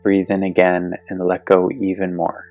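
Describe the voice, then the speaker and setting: A man gently and soothingly guiding a yoga nidra meditation, speaking deliberately with long pauses. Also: sits low, monotone. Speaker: guided meditation instructor. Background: soft meditation music.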